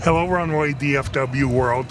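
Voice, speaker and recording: A man, a guest on a live stream, chatting outdoors at an airport and pausing with fillers. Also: mid-range voice, a crowd in the background. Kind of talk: casual chat. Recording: outdoor noise, loud traffic.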